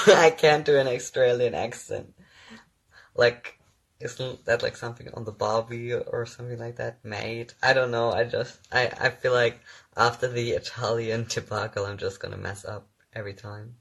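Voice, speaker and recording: A man's voice: medium pitch, fairly even intonation, a little slow, gravelly, a bit bright, poor audio. A young man whose first language is German chats casually on a phone livestream, reading viewer questions aloud and answering with ums and easy laughs. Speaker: actor hosting his own livestream.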